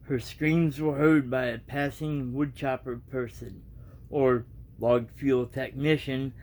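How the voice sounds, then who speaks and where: A man reading aloud and giving his reaction as a vlogger, in an informal, halting, rambling way. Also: a little high, a little mellow, low-quality recording.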